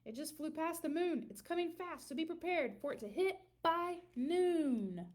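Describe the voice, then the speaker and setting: A woman's voice: very high voice, expressive, moderate pace, a little mellow, pitched above the performer's own voice. A woman voicing an alarmed news announcer in a children's story, delivering an emergency bulletin with clipped, emphatic urgency. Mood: urgent alarm.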